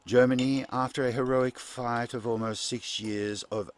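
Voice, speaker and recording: A man playing a grave, formal 1940s radio newsreader in a radio play, with deliberate, halting phrasing. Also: medium pitch, steady tone, natural voice.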